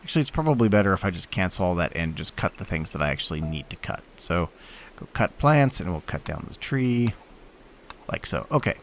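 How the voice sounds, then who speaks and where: A man's voice: low-pitched, sing-song, fairly quick, a bit bright, a little hiss or hum. A young man with a stuffy voice from allergies, giving casual rambling commentary on his gameplay with lots of fillers. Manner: rambling, thinking aloud with many fillers. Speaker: host.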